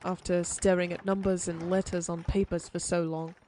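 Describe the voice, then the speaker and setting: A woman's voice: fairly low pitch, little pitch movement, normal speed, dark tone, a little hiss or hum, close to an everyday voice. A woman voicing a prim, sympathetic frontier lawyer in an audio roleplay, speaking formally with old-fashioned phrasing. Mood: calm, polite.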